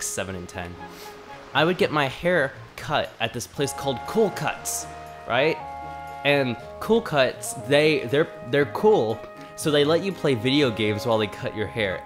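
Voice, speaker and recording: A man in his twenties with a cold, rambling through a story while casually commenting on his gameplay. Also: mid-range voice. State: has a cold. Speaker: let's play host. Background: game music.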